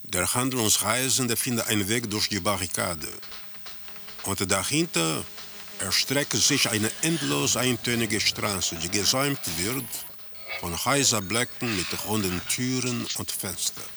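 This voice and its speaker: Casting: cool, detached storyteller for surreal radio play, deliberate slow pace, lowish register. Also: male voice, vocal fry, background noise. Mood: detached, measured. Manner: measured, detached, descriptive. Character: detached male narrator describing surreal scenes. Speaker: narrator. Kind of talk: scripted radio drama narration.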